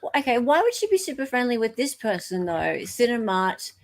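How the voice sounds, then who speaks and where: A woman's voice: fairly high pitch, sing-song, a little fast. A woman with an Australian accent hosting a casual livestream discussion, thinking aloud as she goes. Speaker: host.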